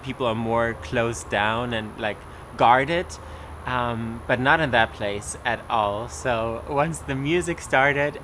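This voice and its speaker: A man's voice: mid-range voice, pushed and bright, slight background noise. A young man telling his personal testimony in a casual way, with many fillers and restarts. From Germany.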